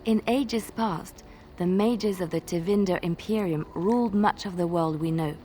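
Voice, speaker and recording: An actress playing an earnest, devout young bard who solemnly tells sacred legends in a fantasy video game. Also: female voice, lowish voice, normal speed, mellow, a little hiss or hum, natural voice. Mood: solemn storytelling.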